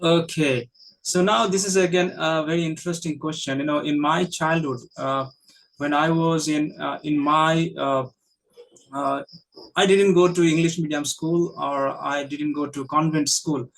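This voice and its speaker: A man who teaches English, interviewing a guest in a halting, filler-heavy manner and often murmuring agreement. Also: on the high side, creaky, low-quality recording. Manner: frequent backchannel, halting with many fillers. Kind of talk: casual conversational interview.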